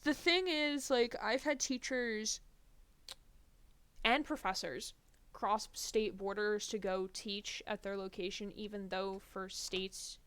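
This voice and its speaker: A woman's voice: medium pitch, slow pace, fairly bright tone, smooth, dry recording. A young woman from New Jersey, a streamer chatting casually and rambling while she games. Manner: chatty, rambling, many fillers. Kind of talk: casual chat while gaming.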